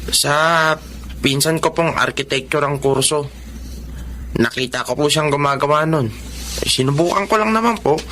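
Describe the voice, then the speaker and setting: A man's voice: fairly high pitch, moderate pace, sharp tone, a little hiss or hum. An actor playing a humble, self-effacing young working-class man in a Filipino drama, speaking hesitantly and politely.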